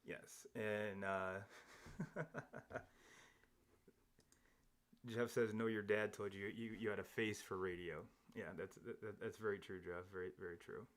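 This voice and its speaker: A man with a lowish voice hosts a live sports podcast, talking casually about baseball. He rambles and restarts his sentences, with lots of fillers between thoughts. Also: steady tone, measured pace, long pauses. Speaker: podcast host. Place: home studio, seated across from co-host.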